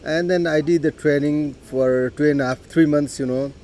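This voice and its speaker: A middle-aged man with a Nepali accent, telling his life story in an unhurried way, with frequent "you know" and throat clearing. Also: fairly high pitch, fairly even intonation, a little mellow, a little hiss or hum. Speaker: interviewee. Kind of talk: personal life story told in conversation.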